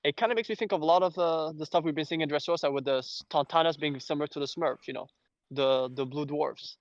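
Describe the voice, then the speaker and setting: A man from Belgium, a native French speaker with an accent to match, hosting a casual fan discussion in a rambling, halting way. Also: fairly high pitch, no echo, highs cut off. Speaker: host.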